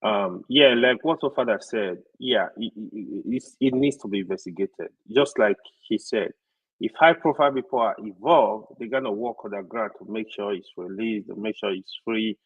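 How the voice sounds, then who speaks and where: A Nigerian man on a panel talk, speaking earnestly and with many halting restarts. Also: lowish voice, slightly rough, phone-quality sound. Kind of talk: panel discussion.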